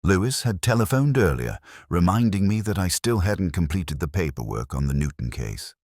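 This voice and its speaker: A man with an extremely low voice reads first-person detective fiction as a pensive, world-weary inspector. His delivery is measured and melancholy, rising and falling expressively, in a dry, close recording. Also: big pitch swings, a little mellow, dry recording. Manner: measured, reflective, melancholy storytelling. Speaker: narrator of a detective audio story. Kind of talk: literary first-person scripted narration.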